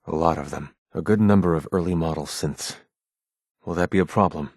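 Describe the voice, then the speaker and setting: An actor voicing a guarded, earnest male android rebel leader in a video game, with formal, measured, grave speech and a husky voice. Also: low-pitched, darkish tone, no echo, clean studio sound. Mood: urgent, guarded. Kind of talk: scripted game character dialogue.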